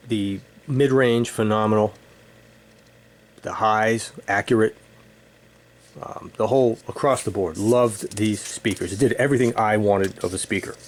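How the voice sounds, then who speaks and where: A middle-aged man reminiscing casually as an enthusiast, speaking in halting short bursts. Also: on the low side. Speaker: audio hobbyist presenting own video. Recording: steady room noise, air conditioning hum.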